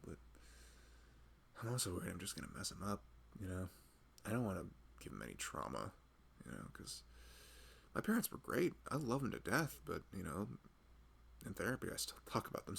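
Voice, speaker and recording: A man's voice: low-pitched, big pitch swings, normal speed, creaky, long pauses, fairly bright tone, husky, full character voice. A man playing a loving husband who rambles tenderly and a little anxiously in an intimate, conversational ASMR roleplay. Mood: reflective. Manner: rambling, hesitant, conversational, frequent "you know". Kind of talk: intimate ASMR boyfriend roleplay, improvised-sounding chat.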